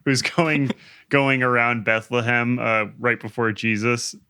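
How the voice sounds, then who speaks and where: A man's voice: mid-range voice, steady tone, measured pace, bright, forceful tone, clean tone. A man co-hosting a casual comic chat, talking in an informal, joking way.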